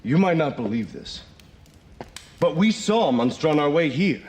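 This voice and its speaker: An actor playing a shady, wisecracking adventurer in a game cutscene, chatty and sarcastic. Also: male voice, on the high side, big pitch swings, normal speed, darkish tone, breathy edge, full character voice. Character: wisecracking shady male adventurer, middle-aged. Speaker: game character.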